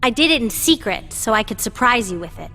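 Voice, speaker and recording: A woman's voice: a little high, big pitch swings, a little fast, pushed and bright, exaggerated voice. An actress playing a determined young woman in a video game, earnest and assertive, out to prove she has grown up. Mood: earnest, resolute. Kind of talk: English video game dub acting. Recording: echoey hall reverb.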